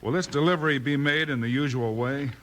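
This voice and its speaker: An actor playing a calm, heroic Old West marshal with an American accent, firm and reassuring, in 1950s radio style. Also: male voice, medium pitch, fairly quick, close to an everyday voice. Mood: probing, confident. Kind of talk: 1950s Western radio acting. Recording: faintly noisy vintage radio recording.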